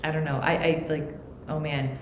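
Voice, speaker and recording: A woman's voice: low-pitched, normal speed, highs cut off. A woman podcast writer on a panel, talking with enthusiasm. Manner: enthusiastic, animated. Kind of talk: panel discussion. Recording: echoey room, faint noise.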